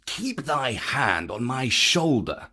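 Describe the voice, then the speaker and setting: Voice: mid-range voice, very animated, takes it slowly, bright, forceful tone, slightly rough, heavily acted. A narrator voicing a grave, stern panther. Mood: urgent, shaken.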